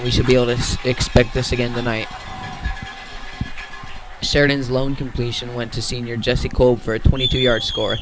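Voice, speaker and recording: American man, radio sports commentator, even flat intonation, middle-pitched voice, measured play-by-play delivery. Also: rough recording.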